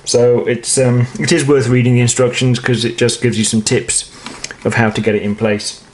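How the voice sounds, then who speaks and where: A man's voice: medium pitch, little pitch movement, moderate pace, darkish tone, a little hiss or hum, rough recording. A British man presenting an informal step-by-step how-to demonstration in a matter-of-fact, explanatory way. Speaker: presenter of a how-to product installation video.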